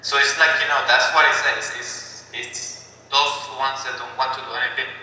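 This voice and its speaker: A man's voice: mid-range voice, flat delivery, a little fast, bright, forceful tone, slight background noise. A man speaking earnestly in a podcast conversation, asking rhetorical questions and often restarting his phrases. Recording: echoey reverberant room, far from mic.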